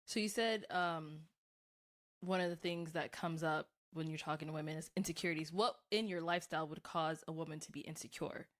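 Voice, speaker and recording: A woman's voice: low-pitched, fairly even intonation, brisk. Woman interviewer with casual questions. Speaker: interviewer, co-host.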